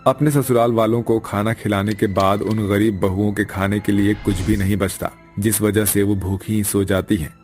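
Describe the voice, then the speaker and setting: A man's voice: on the low side, steady tone, moderate pace, few pauses, darkish tone. A man narrating a dramatic cartoon story from a script. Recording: music behind the voice.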